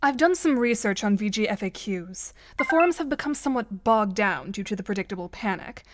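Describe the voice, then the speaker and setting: A woman's voice: mid-range voice, animated, a little fast, very noisy, natural voice. A woman voicing a dry-witted, composed young gamer in an audio drama, speaking precisely and formally. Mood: measured, analytical.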